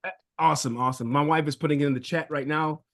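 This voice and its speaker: A man on a video call talks as a real estate coach. His voice sits somewhat high for a man. His delivery is relaxed and easygoing, and he often repeats words as he goes. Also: fast talker, gravelly. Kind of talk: casual webinar talk.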